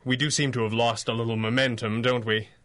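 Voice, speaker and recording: A man's voice: medium pitch, little pitch movement, brisk, fairly bright tone, close to an everyday voice. Casting: male actor as stammering, apologetic masked hero, mid-range voice, 1930s radio adventure. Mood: awkward, sheepish. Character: flustered, apologetic adult male masked superhero, secretly lovestruck.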